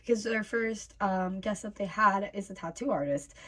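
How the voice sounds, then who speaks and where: A woman in her twenties hosting a reaction vlog, voice raspy from bronchitis, chatting casually with many fillers. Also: medium pitch, normal speed. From North America. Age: 20s. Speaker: YouTube host.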